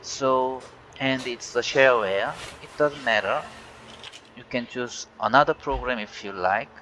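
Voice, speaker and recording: A Korean-native man speaking English in a halting way, with many fillers and restarts, walking viewers through a tech issue. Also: mid-range voice, bright, forceful tone. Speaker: tech channel host. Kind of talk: casual screen-recorded walkthrough. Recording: dull lo-fi voice with faint hiss.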